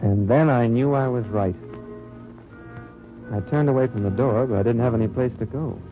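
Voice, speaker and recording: An actor playing a dry, wry undercover agent who narrates in first person in a 1940s radio drama. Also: male voice, medium pitch, moderate pace, over music, natural voice. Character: hard-bitten American undercover agent narrating. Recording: lo-fi old radio transcription.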